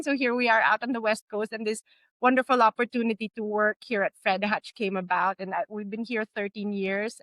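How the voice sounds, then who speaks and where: A woman's voice: a little high, a bit bright, no echo. A middle-aged woman from the Philippines, speaking as a medical podcast guest in a conversational storytelling style.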